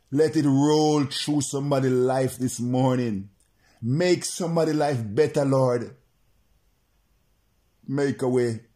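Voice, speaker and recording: Slow, fervent preacher man praying aloud. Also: mid-range voice, dark tone. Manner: repetitive, insistent, chant-like phrasing. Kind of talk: fervent prayer and preaching to remote listeners.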